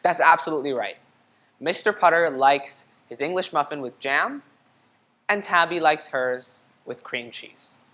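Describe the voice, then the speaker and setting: Female children's storybook reader, low register, expressive and teacherly, interactive read-aloud delivery. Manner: teacherly, expressive, pausing to ask questions.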